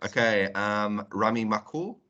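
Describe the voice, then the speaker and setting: A man's voice: on the low side, a little slow, bright, forceful tone, dull audio. A man, teaching an online class, friendly and chatty, joking with his students as he calls on them. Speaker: English teacher. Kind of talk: online class roll call.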